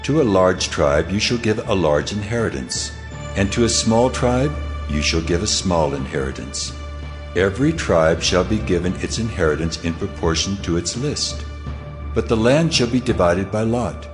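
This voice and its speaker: God, very deep and commanding. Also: male voice, animated, normal speed, music in the background. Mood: solemn, commanding. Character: God, solemn commanding divine lawgiver. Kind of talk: dramatized scripture reading.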